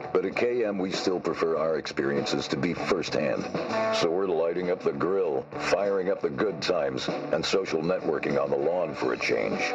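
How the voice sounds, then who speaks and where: A man's voice: a bass voice, vocal fry. A man reading folksy scripted narration for a radio commercial. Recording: slightly muffled radio ad production. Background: loud guitar music.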